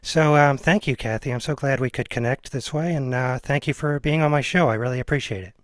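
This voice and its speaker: A man's voice: mid-range voice, fairly quick, no room sound, studio quality. An American man around forty hosting a casual interview, speaking informally and a bit hesitantly. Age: 30s or 40s. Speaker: podcast host, film teacher.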